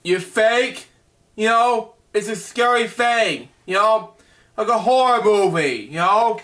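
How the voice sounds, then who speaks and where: Male storyteller, slow and halting, bright tone, rambling asides. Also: sits high. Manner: halting, stumbling over words, chatty asides to the listener. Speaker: storyteller reading a picture book. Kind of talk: reading a bedtime story aloud with rambling asides.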